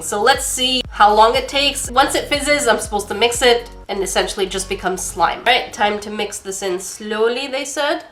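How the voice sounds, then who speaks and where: A young woman with a cold and a stuffy nose, her voice cracking now and then, gives a sarcastic and animated review to camera. Her voice sits a little high, and the sound has an echoey, roomy feel with faint music underneath. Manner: sarcastic, animated, mock-outraged. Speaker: craft channel host. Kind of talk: casual sarcastic product review.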